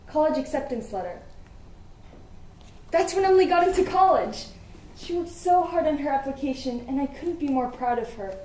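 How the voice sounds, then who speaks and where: Casting: young actress as loving, forgetful mum, wistful diary monologue, high voice, distant in an echoey hall. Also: female voice, lively intonation, normal speed, dark tone. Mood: fond, wistful. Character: tender, forgetful mother devoted to her daughter. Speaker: student actor in a one-act play. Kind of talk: dramatic monologue, reading diary entries. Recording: echoey hall, distant mic, faint noise.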